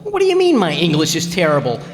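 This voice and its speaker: A man's voice: on the high side, huge pitch swings, fast. A man playing a folksy, blustering husband with careless grammar in a 1940s-style radio comedy. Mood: defensive. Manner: blustery, colloquial, ungrammatical. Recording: echoey hall, live stage performance.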